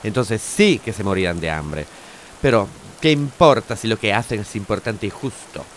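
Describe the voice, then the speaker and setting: A man's voice: on the low side, animated, normal speed, a little hiss or hum, close to an everyday voice. An actor playing an earnest, argumentative young male activist in a scripted audio drama. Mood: indignant. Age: young adult. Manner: argumentative, rhetorical.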